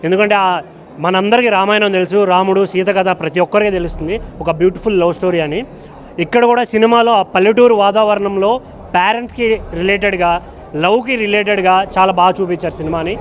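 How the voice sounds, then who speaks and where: High-voiced man, brisk, earnest review. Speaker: moviegoer giving a film review. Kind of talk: earnest spoken review to camera.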